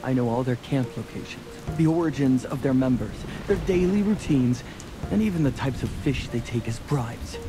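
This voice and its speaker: An actor playing a cocky, smooth-talking young scoundrel in a video game, with a breezy, chummy manner. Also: male voice, mid-range voice, lively intonation, moderate pace, natural voice, poor audio. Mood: earnest, confident. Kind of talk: video game character acting. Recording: music and rain behind the voice. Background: game music, rain.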